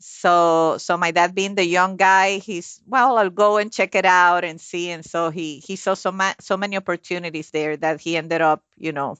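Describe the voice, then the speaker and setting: Low-voiced Venezuelan-born woman, dry sound. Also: steady tone, moderate pace, hardly any pauses, a bit bright, clean tone, dry recording. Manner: friendly, many fillers and restarts. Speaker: CEO guest. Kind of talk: conversational interview answers.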